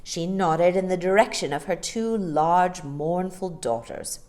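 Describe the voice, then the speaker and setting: A woman reading a storybook aloud in a theatrical, deliberate, expressive narrating style. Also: lowish voice. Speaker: storytime reader.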